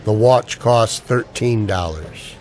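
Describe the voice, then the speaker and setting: A man's voice: on the low side, slow pace, darkish tone, slight background noise. An actor playing a wry, wary ex-soldier down on his luck, with dry, sardonic banter in a radio play. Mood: wry, resigned. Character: wry, wary unemployed ex-Ranger, expectant father. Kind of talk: radio play dialogue in homage to old radio dramas.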